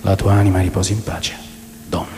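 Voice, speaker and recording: A man's voice: sits low, level tone, fairly quick, close to an everyday voice. A man playing a brooding narrator who mourns a lost childhood friend, in a solemn, reflective radio drama monologue. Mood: mournful. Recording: echoey long reverb, faint background noise.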